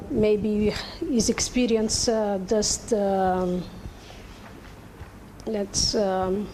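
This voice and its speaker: A woman's voice: mid-range voice, warm tone, slight background noise, poor audio. Hesitant woman. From Cape Verde. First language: Portuguese. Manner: hesitant, many fillers and restarts. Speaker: conference speaker. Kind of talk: halting conference discussion.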